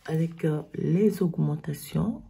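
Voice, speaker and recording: A woman with a cold, hoarse, slowly explaining knitting stitches step by step in a tutorial. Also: low voice, mellow. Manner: slow, step-by-step instructions.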